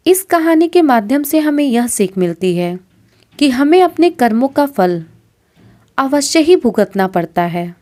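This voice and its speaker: A woman's voice: high-pitched, mellow. A woman narrating a scripted moral story as a storyteller, in a didactic manner. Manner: didactic, addressing listeners as friends.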